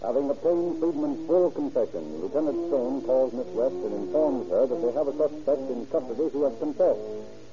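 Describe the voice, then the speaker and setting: An actor playing a businesslike police narrator and detective in a 1940s radio crime drama, with a clipped, matter-of-fact delivery. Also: male voice, mid-range voice, expressive, moderate pace, close to an everyday voice. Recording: muffled old radio broadcast, music behind.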